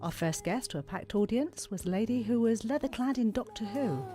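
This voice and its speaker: A woman's voice: a little high, lively intonation, fairly quick. A woman with a British accent hosting a radio programme, reading a scripted introduction to a guest. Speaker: radio host. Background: music.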